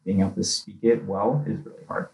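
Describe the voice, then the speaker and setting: A young man in his twenties talking casually as an interview guest, chatty and quick to laugh. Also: on the low side, level tone, creaky, darkish tone, poor audio. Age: 20s. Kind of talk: casual conversation.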